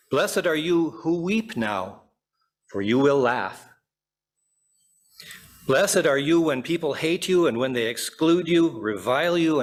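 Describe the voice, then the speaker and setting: A man reads scripture aloud during a church service. His delivery is formal and measured, each phrase set out on its own. His voice sits at a medium pitch for a man. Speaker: scripture reader.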